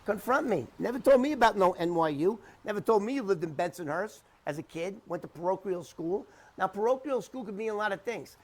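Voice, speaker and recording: A middle-aged man talking unscripted to the camera in an emphatic, repetitive, halting way as he rebuts claims. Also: high-pitched, lively intonation, rough recording. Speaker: interview commentator debunking someone's claims.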